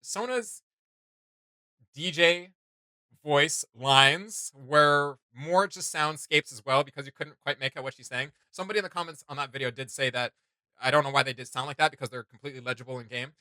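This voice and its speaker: A man's voice: fairly high pitch, normal speed, sharp tone, no echo. A man in his thirties co-hosting a reaction show, chatting casually in a rambling, enthusiastic way.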